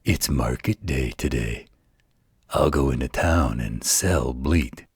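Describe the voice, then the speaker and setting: A man voicing a gentle, patient werewolf in a slow, soothing bedtime roleplay, with a husky growl. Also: dry recording, clean studio sound, exaggerated voice. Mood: calm storytelling. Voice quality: very deep gravelly husky growl. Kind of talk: intimate bedtime roleplay audio.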